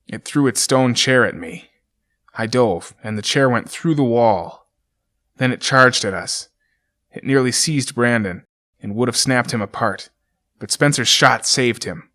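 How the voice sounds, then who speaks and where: A man voicing a doomed ranger who reads his last journal entries in a grim, measured way. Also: medium pitch, a bit bright, close to an everyday voice. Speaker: journal narrator in an audio drama. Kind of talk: dramatic reading of a journal.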